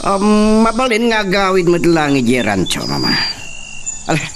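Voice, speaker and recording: A man's voice: high-pitched, wildly swooping pitch, normal speed. Man playing a bossy young landowner. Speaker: young landowner's son, senyorito. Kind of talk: amateur radio-style drama acting. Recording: distant mic with faint outdoor noise.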